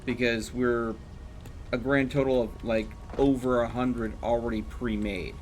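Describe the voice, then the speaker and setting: A man with a mid-pitched voice talks casually as he plays a game on stream. He thinks out loud and works through figures in an informal way. There is a faint layer of noise behind him. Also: steady tone, unhurried. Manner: thinking aloud, explaining numbers. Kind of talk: casual chat while gaming.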